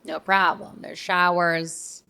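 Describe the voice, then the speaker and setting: Woman chatting casually, slightly low voice. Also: level tone, takes it slowly, gravelly, bright, forceful tone, clear, resonant tone. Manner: informal, slangy, thinking aloud. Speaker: podcast co-host. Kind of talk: casual podcast chat.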